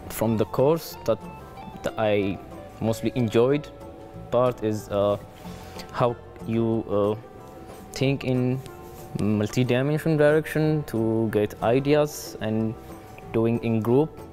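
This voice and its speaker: A man from Ethiopia, a student, talking slowly and searching for his words. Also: mid-range voice, warm tone, faint music behind. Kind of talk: interview comments.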